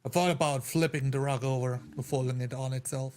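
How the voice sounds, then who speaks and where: A man's voice: mid-range voice, fairly even intonation, fairly quick, darkish tone. A man playing a tabletop roleplaying game, describing his character's moves in a matter-of-fact way. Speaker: player.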